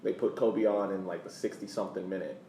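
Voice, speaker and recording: A middle-aged man with a low voice reminisces casually about sport as a podcast co-host. He leans on repeated phrases for emphasis. The sound is roomy and set back from the mic, with a little background noise. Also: fairly even intonation, fast talker, warm tone, recorded from a distance.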